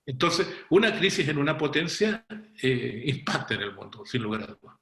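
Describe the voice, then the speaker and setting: A man's voice: medium pitch, big pitch swings, normal speed, a bit bright, reverberant. A man on a panel show, giving political analysis in an explanatory way, with asides and self-corrections.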